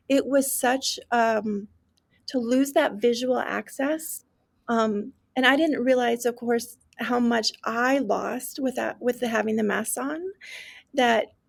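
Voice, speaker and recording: A woman's voice: fairly high pitch, unhurried, creaky. A woman guest expert on an interview podcast, explaining earnestly with frequent restarts. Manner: earnest, restarts often, many "like" and "um". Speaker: guest expert on deaf education. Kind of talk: conversational interview.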